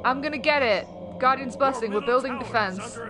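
A young woman with a Yorkshire accent chatting casually as a streamer while playing, excitable, swearing and yelping at the game. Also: on the high side, normal speed. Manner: excitable, swears, yelps in pain and shrieks. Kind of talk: casual gaming chat. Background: game music.

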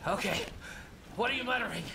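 A frantic talking demon bird in a video game, extremely high and wildly swooping. The squawk is shrill, brash and gravelly. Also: male voice, brisk, recorded from a distance, background noise. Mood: alarmed, nagging. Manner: brash, excitable shouting. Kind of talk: video game cutscene acting.

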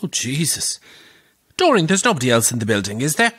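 A superstitious, gossipy Irish man who works as a pantomime dame. His delivery is theatrical and exclamatory, with big swings in pitch. The sound is dry and close, in audio drama style. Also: sing-song, fairly quick, a bit bright, slightly rough, dry recording. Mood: jittery, urgent. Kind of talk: naturalistic audio drama acting.

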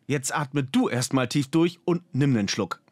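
A man's voice: medium pitch, unhurried, a little mellow, no room sound, voice pushed up, close to an everyday voice. A man voicing a grumpy, sardonic mage and exasperated friend in colloquial audiobook dialogue. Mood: bemused.

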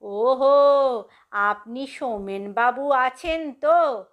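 A woman voicing a humble, stooped old male caretaker in a story, with deferential, drawn-out rustic speech. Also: high voice, lo-fi sound. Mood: curious, deferential. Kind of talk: dramatised story reading.

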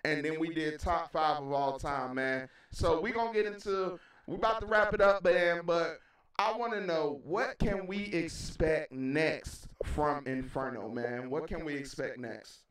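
A man's voice: fairly high pitch, unhurried, fairly bright tone. A middle-aged American man hosting an interview in a casual hype style, emphatic and repetitive. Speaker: radio show host and DJ. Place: small indoor room, video call. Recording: very echoey, distant from mic.

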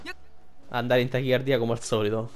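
A man's voice: mid-range voice, flat delivery, fairly quick, clear, resonant tone. A man giving colloquial, sweary commentary on a video game. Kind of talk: casual game commentary with reading dialogue aloud. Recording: game music behind the voice. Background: video game music.

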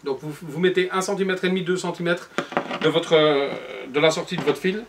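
A man's voice: on the high side, steady tone, a little fast, slight background noise. A man in his forties patiently giving step-by-step upholstery instructions in his workshop showroom, recorded on the camera mic. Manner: patient, explanatory, addresses viewer directly. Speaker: upholsterer demonstrating cushion making.

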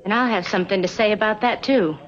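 A woman's voice: mid-range voice, fast, lightly acted. A young actress playing a principled, anxious frontier woman in an old TV western, speaking earnestly and insistently. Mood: cool reproach. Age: young adult. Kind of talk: 1960s TV western acting. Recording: dull old TV soundtrack, loud music.

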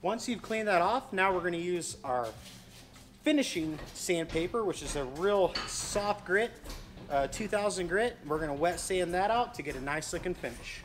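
A man's voice: sits high, lively intonation, normal speed. A man in his thirties, a boat dealer hosting his own channel, giving a casual, folksy how-to demonstration in a large showroom. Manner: easygoing, folksy instructional talk.

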